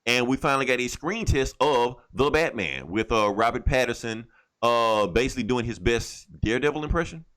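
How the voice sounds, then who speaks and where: A man's voice: medium pitch, animated, a little fast, a bit bright. A man co-hosting a casual podcast about comics and movies, talking in an emphatic, opinionated way with plenty of swearing. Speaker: podcast co-host.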